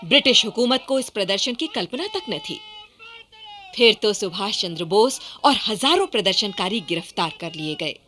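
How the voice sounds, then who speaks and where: A woman's voice: mid-range voice, very animated, moderate pace, pushed and bright, a little hiss or hum. A woman narrating a historical radio play in a scripted, dramatic style.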